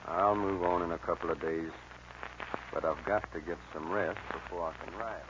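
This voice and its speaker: An actor playing a cool, threatening young outlaw with a Western drawl, terse and deliberate, in 1940s radio style. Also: male voice, low voice, fairly even intonation, close to an everyday voice. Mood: calm, weary. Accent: American Western drawl. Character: cool, menacing young male outlaw gunfighter. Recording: muffled, noisy old radio recording.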